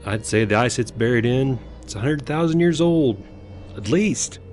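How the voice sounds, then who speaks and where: A man plays a matter-of-fact male geologist in a horror storybook drama. His pitch is average, with faint music behind. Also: lively intonation, normal speed, darkish tone, close to an everyday voice. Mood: awed, estimating. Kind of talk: horror read-along audio drama.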